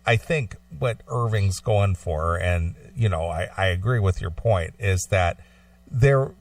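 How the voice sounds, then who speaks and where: Deep-voiced man explaining, slightly off-mic. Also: no echo, far from the mic. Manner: explanatory, halting with repeated phrases. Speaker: talk show co-host. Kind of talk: casual opinionated radio discussion.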